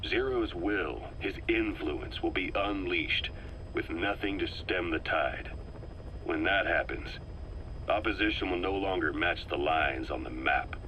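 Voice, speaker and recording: A calculating spy giving a briefing. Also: male voice, sits low, sharp tone, slight background noise, low-quality recording. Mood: measured. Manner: measured, earnest, briefing tone. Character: shrewd male intelligence operative, calm and calculating. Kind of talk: video game cassette tape dialogue.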